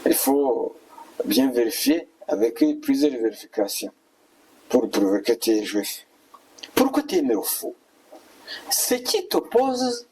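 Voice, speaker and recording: Male preacher, husky mid-range voice, admonishing and repetitive, recorded away from the mic. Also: medium pitch, darkish tone, recorded from a distance, low-quality recording. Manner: admonishing, repetitive rhetorical questions. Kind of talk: exhortatory sermon and prayer.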